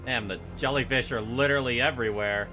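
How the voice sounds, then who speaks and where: A man's voice: medium pitch, quick pace, bright, forceful tone, faint music behind. A man giving casual reaction commentary as he livestreams a game.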